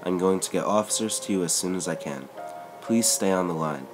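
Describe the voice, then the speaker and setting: A man with a deep voice voicing a female police emergency dispatcher who asks calm, coaxing questions, with music playing underneath. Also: brisk, lightly acted. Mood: calm urgent. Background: background music.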